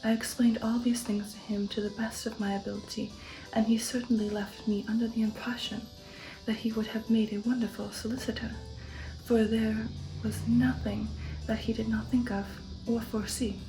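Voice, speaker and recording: A woman narrating a novel aloud in a soft, partly whispered ASMR style, measured and careful. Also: a little high, little pitch movement, over music, poor audio.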